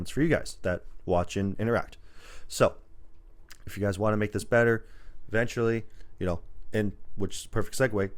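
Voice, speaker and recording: A man hosting a podcast in casual, informal chat, rambling as he goes. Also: fairly low pitch. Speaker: podcast host.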